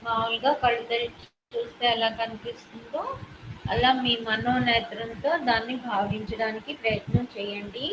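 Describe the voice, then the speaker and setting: A woman with a Telugu accent leads a guided meditation as a yoga nidra instructor, giving measured body-scan instructions in short, separated phrases. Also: a little high, flat delivery. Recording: clearly noisy background.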